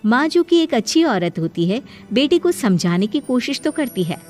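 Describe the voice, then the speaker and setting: A woman's voice: on the high side, big pitch swings, normal speed, darkish tone, faint music behind. A woman giving scripted narration for a moral story.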